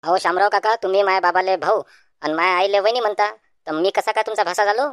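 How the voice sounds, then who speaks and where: A woman's voice: mid-range voice, quick pace, smooth, dry recording, close to an everyday voice. A woman voicing a wry, wary young man in a village cartoon, speaking with a Varhadi Marathi accent. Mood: evasive. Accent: Varhadi Marathi (Vidarbha). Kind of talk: comic village cartoon dialogue.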